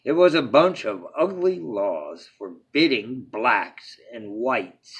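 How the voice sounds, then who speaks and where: A man reading a children's storybook aloud as a bedtime story, with dramatic phrasing that opens emphatically and falls to a hush at phrase ends. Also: medium pitch, lively intonation, slow, slightly rough.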